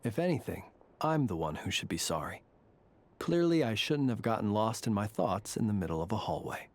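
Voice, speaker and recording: A man voicing a courteous, eccentric graveyard warden in a video game, speaking in a formal, measured, genteel way. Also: fairly low pitch, animated, a little hiss or hum, natural voice. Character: courteous, eccentric gentleman graveyard warden, calm and faintly eerie. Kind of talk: video game character dialogue.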